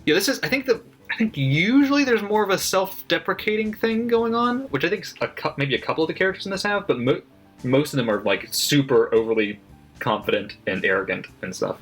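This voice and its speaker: Guy reading his book notes out loud, loose and snarky, lots of likes and ums. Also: on the high side, animated, brisk, slightly rough. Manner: loose and chatty, many fillers, frequent swearing; sardonic and critical, laughs at own notes. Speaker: book vlogger. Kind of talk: casual commentary reading book notes aloud. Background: faint background music.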